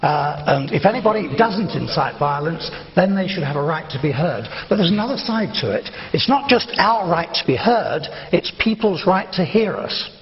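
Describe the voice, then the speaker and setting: A man's voice: high voice, animated, moderate pace, hardly any pauses. A man speaking as a party spokesman in a televised studio debate, firm and insistent. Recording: dull TV audio in a reverberant studio.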